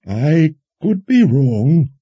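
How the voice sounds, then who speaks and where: A shrewd, kindly old wizard from a fantasy audiobook. He talks slowly and folksily in a husky, raised voice, musing and gently teasing. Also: fairly high pitch, big pitch swings, no echo, highs cut off, pitched above the performer's own voice, full character voice. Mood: measured, doubting. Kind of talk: audiobook character dialogue.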